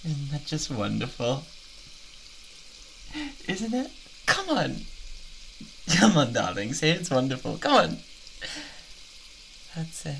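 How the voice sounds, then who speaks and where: A man with a British accent playing an obsessive, unhinged boyfriend, doting and menacing, in a halting, intimate ASMR roleplay. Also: a little high, very animated, gravelly, fairly bright tone, a little hiss or hum, full character voice, poor audio. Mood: coaxing. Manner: halting, giggly, theatrically coaxing.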